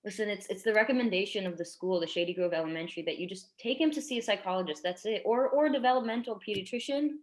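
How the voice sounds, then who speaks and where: A woman's voice: mid-range voice, quick pace, few pauses, lightly acted. A woman playing an earnest, tactful schoolteacher in her twenties in a staged drama reading, speaking hesitantly and delicately. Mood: awkward, delicate.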